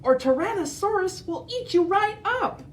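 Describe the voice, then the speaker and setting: A woman voicing a jittery, bossy dinosaur giving a shrill, urgent warning in a storybook reading. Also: animated, moderate pace, slight background noise.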